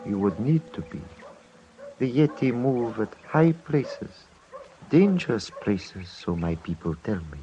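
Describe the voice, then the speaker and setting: A man playing a courteous, solemn monastery lama in an old-time radio drama, speaking formally and deliberately with quiet authority. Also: medium pitch, big pitch swings, soft background music. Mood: solemn inquiry. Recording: muffled old radio broadcast.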